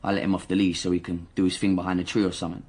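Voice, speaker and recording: Low husky gang guy getting questioned, cocky, defensive, slangy radio drama thing. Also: little pitch movement, very fast, darkish tone. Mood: self-justifying. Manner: streetwise slang, indignant and self-justifying. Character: cocky, defensive young male gang member being interrogated. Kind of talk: naturalistic radio drama dialogue.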